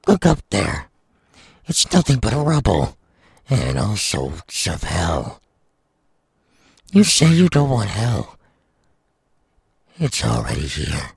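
Very raspy, taunting demon villain. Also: male voice, sits low, huge pitch swings, no echo, heavily acted. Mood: taunting, gloating. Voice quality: raspy, gravelly. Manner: slow, taunting, drawn-out. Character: menacing male demon villain, sadistic and taunting. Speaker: voice actor. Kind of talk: anime audio roleplay, theatrical villain monologue.

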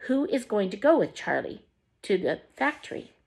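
A woman's voice: mid-range voice, very animated, normal speed, vocal fry, darkish tone, husky, exaggerated voice. A woman voicing a fussy, bustling mother in a storybook reading. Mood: fussing. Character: bossy, fussing storybook mother.

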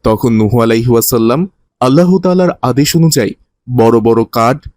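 A man's voice: medium pitch, lively intonation, moderate pace, darkish tone, close to an everyday voice. A man playing a kindly, didactic teacher who tells moral religious stories to children in a cartoon. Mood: earnest, instructive. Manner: didactic, earnest.

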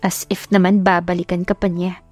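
A woman's voice: lowish voice, level tone, a little fast, darkish tone, no echo, faint music behind, natural voice. Woman acting out a smug, faux-sweet rich rival. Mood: smug, taunting. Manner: coy taunting, code-switching into English. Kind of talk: audio drama storybook acting.

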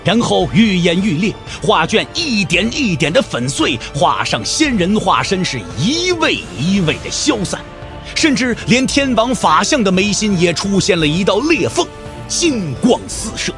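A man's voice: sits high, big pitch swings, unhurried, music in the background. A man giving dramatic scripted narration for an audio drama, theatrical and emphatic.

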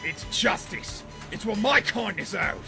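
Man as a gravelly, vengeful devil villain. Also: high voice, expressive, unhurried, heavily acted. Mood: angry. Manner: menacing, self-righteous. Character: vengeful, bitter male Tasmanian devil villain. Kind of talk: amateur comic dub voice acting. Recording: music loud behind the voice.

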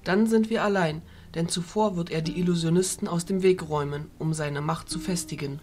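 A woman's voice: on the low side, fairly even intonation, darkish tone, slight background noise, lightly acted. A woman playing a composed, authoritative fairy leader who speaks formally in an amateur audio drama. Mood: composed, solemn. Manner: formal, measured.

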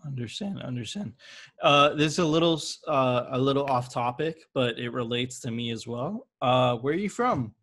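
A man's voice: mid-range voice, lively intonation, moderate pace, a bit bright. A young man chatting casually and informally in slangy English as a podcast interviewer. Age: young adult. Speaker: podcast host. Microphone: close desktop microphone with headset.

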